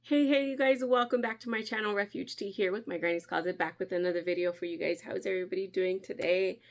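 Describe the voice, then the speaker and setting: Brief: chatty woman vlogger, nasal with a cold, gravelly edge, mid pitch. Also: steady tone, fast, faint music behind. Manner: chatty, friendly, often laughing. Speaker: crafting channel host. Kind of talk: casual vlog chat.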